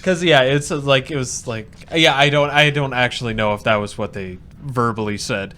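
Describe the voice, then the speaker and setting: A man's voice: mid-range voice, fairly even intonation, normal speed, pushed and bright. A man co-hosting a podcast in casual, joking banter, prone to acting out imagined bits of dialogue. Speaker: podcast co-host. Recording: noticeable background noise, room ambience.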